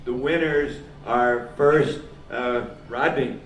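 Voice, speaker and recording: A man from New England hosting a homebrew competition, announcing the winners in a halting, informal speech. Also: medium pitch, steady tone. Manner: halting, with frequent pauses. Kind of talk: informal speech at a live event. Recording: echoey room, mic far off, faint noise.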